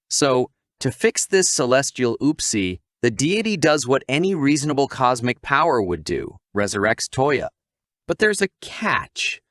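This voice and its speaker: Male narrator for comedic recaps: wry and playful, animated intonation, mid-range pitch, close dry recording. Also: dry recording. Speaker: narrator of anime recap videos.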